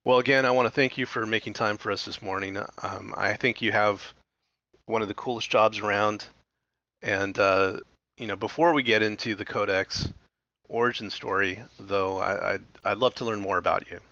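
A man's voice: on the low side, steady tone, moderate pace, pushed and bright, dry recording. A man hosting an interview teleconference, reading a sponsor note and then chatting loosely with fillers. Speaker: podcast host. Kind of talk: interview host, reads sponsor intro. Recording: dull dry teleconference audio.

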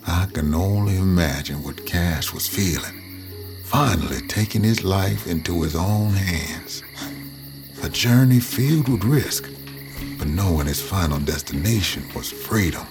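Cynical Southern storyteller, very deep and brooding, measured delivery over a music bed. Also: male voice, lively intonation. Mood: somber, brooding. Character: cynical, reflective man narrating a bitter past. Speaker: narrator. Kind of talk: first-person dramatic narration.

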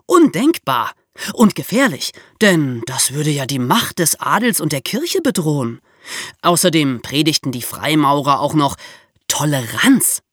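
Lively, expressive history podcast co-host. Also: medium pitch, no echo, studio quality. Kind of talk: conversational explanatory history podcast.

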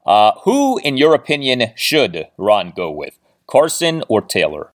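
Guy hosting a sports podcast, super lively up and down pitch, emphatic announcer type delivery. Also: a little slow. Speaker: podcast host.

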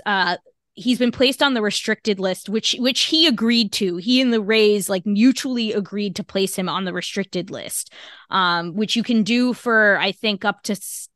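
A woman co-hosting a podcast works through the news in a halting, careful way, filling the pauses with fillers and restarting her sentences often. Her voice sits at an ordinary middle pitch. The recording is dry and close, with no room sound. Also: fairly bright tone, dry recording. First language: English. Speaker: podcast co-host. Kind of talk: casual podcast discussion.

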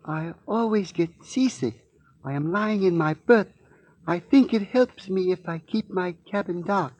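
A menacing East Indian smuggler in a 1940s radio serial. His voice is high and husky, dryly recorded, with deliberate, formal and taunting phrasing. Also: darkish tone, no room sound. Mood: feigned sickly politeness. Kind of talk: 1940s radio drama acting.

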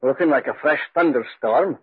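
A man playing an awed but plucky Scottish piper, earnest and husky, in 1940s radio style. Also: mid-range voice, moderate pace. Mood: dazed storytelling. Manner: earnest, respectful, anxious. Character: awed, plucky Scottish piper telling his ghostly tale. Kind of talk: 1940s radio acting with storytelling. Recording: muffled 1940s radio recording.

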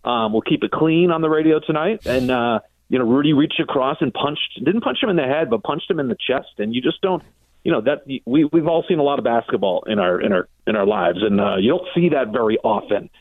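A man's voice: mid-range voice, lively intonation, fairly quick, no echo. An American man talking as a guest on sports radio, recounting things conversationally and in long-winded detail. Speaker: sports broadcaster interviewed as guest. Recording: phone-like narrow band, dry.

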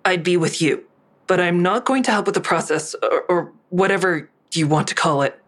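A woman's voice: fairly low pitch, normal speed, fairly bright tone. A woman playing a guarded, cynical middle-aged daughter in an audio drama, dry and terse. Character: guarded, cynical adult daughter who narrates. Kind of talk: naturalistic audio drama acting with narrated asides.

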